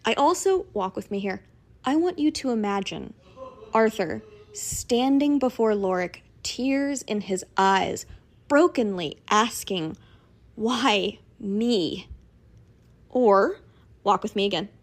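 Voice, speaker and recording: A woman delivering a dramatic, persuasive voice submission, theatrical and emphatic, with deliberate pauses. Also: medium pitch, expressive. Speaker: listener sending a voice submission.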